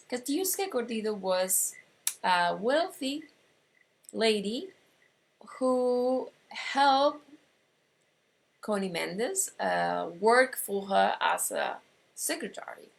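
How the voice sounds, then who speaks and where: A woman with an Argentine Spanish accent, whose first language is Spanish, teaches an online course. She talks slowly and deliberately, stopping often to find her words and restarting phrases. Her voice carries a gravelly fry. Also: a little high, animated. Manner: slow, explanatory, with pauses and restarts. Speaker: metaphysics teacher.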